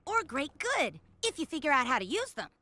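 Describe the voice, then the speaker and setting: A woman's voice: high-pitched, wildly swooping pitch, fairly quick, bright, forceful tone, exaggerated voice. A woman voicing an eager, confident young female inventor in a video game. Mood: eager, curious.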